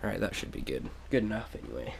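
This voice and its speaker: A young man with a stuffed-up cold voice, casually showing off his things in a halting home vlog full of fillers. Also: fairly low pitch, very animated, creaky, a little hiss or hum. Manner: halting, many fillers and restarts. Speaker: vlogger. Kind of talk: casual show-and-tell vlog. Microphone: action camera on a tripod.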